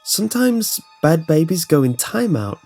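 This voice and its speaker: A man's voice: on the high side, very animated, a little slow, vocal fry, a little mellow, voice pushed up, heavily acted. A man voicing small children who say eerie, innocent things in a plain, childlike way for a horror narration. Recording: voice-over with music behind.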